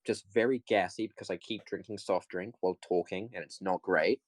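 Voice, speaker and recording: A man in his late teens co-hosting a podcast with jokey, deadpan, mock-boastful banter. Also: deep voice, fairly quick, no room sound. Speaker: podcast co-host. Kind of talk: casual comedic banter.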